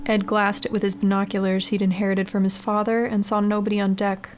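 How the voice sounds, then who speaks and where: Woman reading a horror story, pretty flat even delivery, noticeable background noise. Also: mid-range voice, a little fast. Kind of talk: scripted audiobook-style narration. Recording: clearly noisy background ambience.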